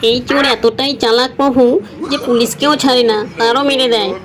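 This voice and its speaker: A woman's voice: sits high, fairly even intonation, brisk, a little hiss or hum, lightly acted. A woman voicing a fawning, cheeky male clerk of the god of death in a comic cartoon. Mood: fawning, complaining.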